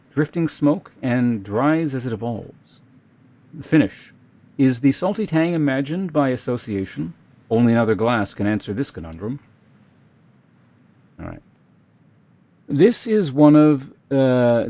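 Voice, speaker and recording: A man hosting a livestream, chatting casually and reading aloud in a halting, measured way. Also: medium pitch, dark tone. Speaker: live streamer host. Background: music.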